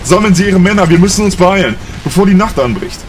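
A man's voice: high voice, animated, quick pace, a little hiss or hum. A man playing an urgent, principled military centurion in a science fiction audio drama, giving clipped, commanding orders. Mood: firm command.

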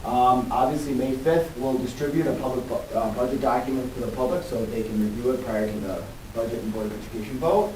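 Male budget official, mid-pitched, procedural and halting meeting presenter, roomy off-mic sound. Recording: echoey, distant mic, faint noise.

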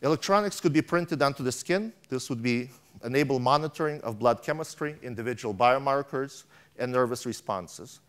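A man's voice: mid-range voice, normal speed, fairly bright tone, clean tone. A middle-aged man giving a keynote on a large conference stage, reading a prepared speech from a tablet with frequent restarts and stumbles, on a lapel mic. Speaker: keynote speaker.

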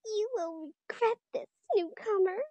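A woman's voice: very high voice, a little slow, dark tone, no room sound, highs cut off, voice pushed up. A woman voicing a snobby, spiteful rich schoolgirl bully in an audio roleplay, haughty and indignant. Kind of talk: amateur audio roleplay acting.

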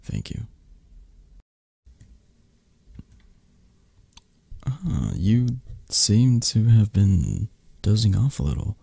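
A man playing a flirty, possessive but tender mafia boss in an intimate romantic ASMR roleplay, murmuring slowly and hesitantly in a tired, husky voice. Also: sing-song, warm tone, no echo, heavily acted. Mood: loving, sleepy. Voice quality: low, husky, gravelly. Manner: slow, hesitant, murmured endearments with pauses. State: tired, drowsy. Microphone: close microphone.

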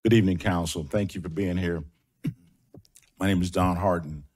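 A man's voice: low-pitched, steady tone, moderate pace, a little mellow, slight background noise. A middle-aged man from Nashville speaking emphatically at a podium microphone in a large meeting hall, asking rhetorical questions and saying uh often. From Nashville, Tennessee. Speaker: public commenter, construction manager. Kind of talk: public comment speech.

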